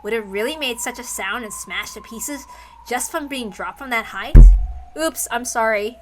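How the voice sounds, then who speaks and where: A woman's voice: a little high, normal speed, loud music behind the voice, low-quality recording. A woman reading a visual novel aloud as its narrator. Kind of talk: story narration.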